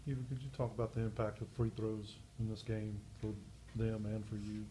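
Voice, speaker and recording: Low, flat-voiced man reporter. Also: fairly quick, music in the background. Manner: casual, chatty questioning with fillers. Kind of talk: press conference questions.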